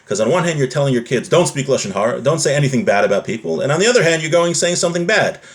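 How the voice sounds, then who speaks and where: A man's voice: fairly high pitch, lively intonation, racing. A man giving an informal lesson in religious law, reading Hebrew phrases from a text and explaining them conversationally with rhetorical questions. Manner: switches between Hebrew quotations and plain explanation.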